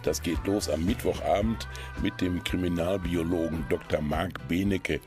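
A man with a husky voice, hosting and presenting local culture listings in a scripted radio style. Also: low-pitched, fairly even intonation, normal speed, over music. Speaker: radio host presenting local event tips.

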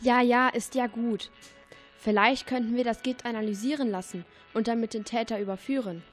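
A woman's voice: a little high, steady tone, moderate pace, soft background music, natural voice. A female performer playing a chatty, eager girl detective in a German radio play.

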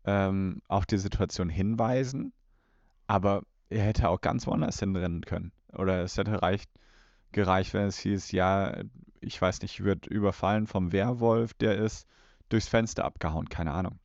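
A man's voice: deep voice, steady tone, moderate pace, creaky, darkish tone, no echo, highs cut off. A man co-hosting a podcast, commenting casually on an audio drama. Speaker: podcast co-host.

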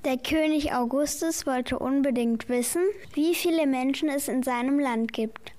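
A woman's voice: high voice, normal speed, darkish tone, lightly acted. A woman playing a patient Christmas angel in an amateur radio play, explaining the nativity story with careful reading. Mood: storytelling.

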